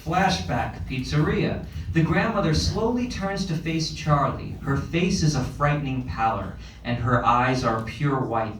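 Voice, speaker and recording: A man's voice: mid-range voice, unhurried. A man narrating a screenplay's scene directions at a table read. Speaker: stage-direction reader. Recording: roomy, distant, faint ambient noise.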